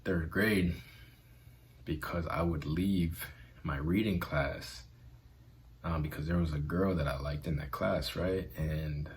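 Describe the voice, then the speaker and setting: A man's voice: very deep voice, a little hiss or hum. A man vlogging in an earnest, conversational way about self-acceptance, with a stutter. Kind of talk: motivational vlog talk.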